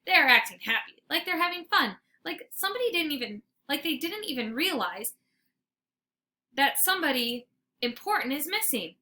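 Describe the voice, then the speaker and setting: A woman's voice: high-pitched, sing-song, normal speed, pushed and bright, voice pushed up, full character voice. A woman voicing an eager, needy young dog from a children's storybook, dramatic, exclamatory and pleading. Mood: indignant.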